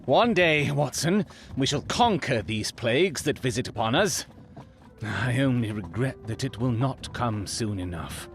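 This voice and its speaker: Brilliant proud victorian detective, very animated and theatrical, formal wordy gent, audio drama. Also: male voice, mid-range voice, a little slow. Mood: anguished.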